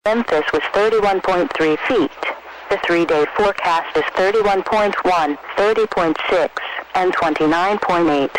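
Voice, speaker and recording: A man's voice: sits high, unhurried. A synthetic-sounding man reads formal weather reports impersonally as an automated announcer heard over radio. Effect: radio broadcast reception. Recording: faintly noisy weather-band radio reception.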